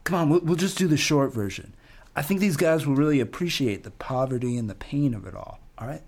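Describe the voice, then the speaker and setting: A man playing an earnest young American slacker who leads a band in a comic radio play, husky and casual. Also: medium pitch, expressive, a little fast, darkish tone.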